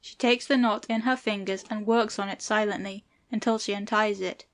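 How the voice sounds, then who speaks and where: A woman's voice: medium pitch, fairly even intonation, moderate pace. A woman reading stage directions as the narrator of an audiobook play.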